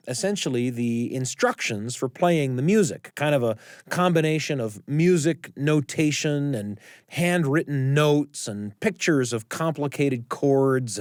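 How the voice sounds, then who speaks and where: A man narrating a scripted story as a host, using dramatic pauses to build suspense. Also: medium pitch, dry recording, clean studio sound.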